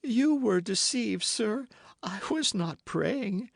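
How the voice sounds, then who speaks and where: A man's voice: fairly high pitch, animated, unhurried, no echo, studio quality, pitched above the performer's own voice. A man voicing a scheming noblewoman pleading in tears. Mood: tearful pleading. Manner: pleading, sobbing, breathless. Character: scheming noblewoman feigning pious tearful meekness. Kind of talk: audiobook character voice.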